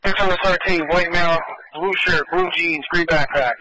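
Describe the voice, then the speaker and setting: A man dispatches police over a scanner radio. His voice is bright and forceful with a dry sound, and he gives locations and descriptions in short, repeated bursts. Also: fairly high pitch, fairly even intonation, normal speed, no room sound, poor audio. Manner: clipped, repetitive phrasing.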